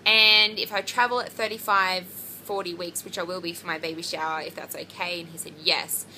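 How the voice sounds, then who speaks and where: A young woman talks to camera in a loose, rambling vlog, full of ums and likes. Her voice is low-pitched and bright, with a gravelly fry running through it. A faint hiss of background noise sits under the recording. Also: fairly even intonation, moderate pace, rough recording. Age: 20s. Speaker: pregnancy vlogger. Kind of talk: casual chat to camera.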